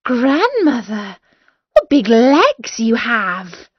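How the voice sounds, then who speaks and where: An innocent little girl, as a woman reading aloud puts her on, trusting and naive. The voice sits high and bounces along in lively pitch. It comes through dry and a bit dull. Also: extremely slow, no echo, exaggerated voice. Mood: amazed.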